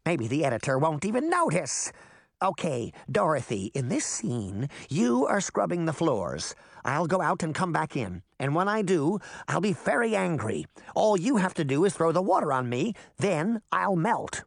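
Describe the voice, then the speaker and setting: Reader doing a bossy storybook witch, way higher than the narration, bouncy and lively. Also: moderate pace, creaky, no room sound, studio quality. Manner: pushy, insistent. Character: eager theatrical female witch, cheerfully fated to melt.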